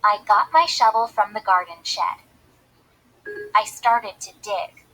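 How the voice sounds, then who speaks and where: A woman voicing a curious young girl who narrates a children's read-aloud story in simple, deliberate phrases. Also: medium pitch, expressive, sharp tone, full character voice, low-quality recording. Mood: earnest. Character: Vivian, curious young girl watching building machines.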